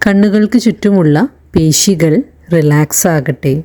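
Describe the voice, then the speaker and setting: A woman leading a guided meditation in a calm, soothing narration, leaving long pauses between phrases. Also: low-pitched. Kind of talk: slow guided relaxation narration.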